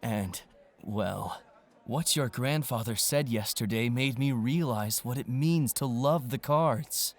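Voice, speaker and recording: An actor playing an arrogant, scornful rich teenage card duelist with a condescending drawl. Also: male voice, mid-range voice, slow pace. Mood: falsely friendly. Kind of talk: audio manga dramatization.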